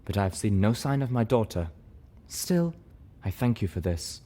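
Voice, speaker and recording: A man voicing a grave, reserved female zebra with a measured, remote manner in an audiobook. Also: on the low side, sing-song, warm tone.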